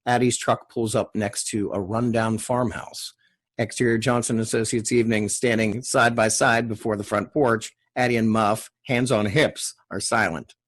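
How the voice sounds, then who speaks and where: A man's voice: on the low side, fairly even intonation, moderate pace. A man narrating screen directions as a reader at a TV drama table read.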